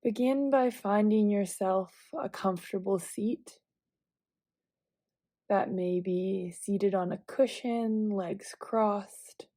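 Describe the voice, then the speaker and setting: A woman leading a guided visualization meditation, giving calm, gentle, measured instructions with long pauses between phrases. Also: mid-range voice, no room sound.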